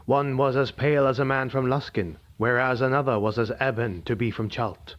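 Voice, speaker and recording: A man narrating a dark fantasy audiobook in a measured, dramatic way. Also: medium pitch, fairly even intonation. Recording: dull lo-fi recording.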